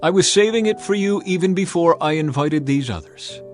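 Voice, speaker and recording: A man's voice: on the high side, brisk, soft background music, pitched above the performer's own voice, close to an everyday voice. A man voicing commanding old prophet Samuel. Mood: instructing. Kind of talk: dramatized scripture reading.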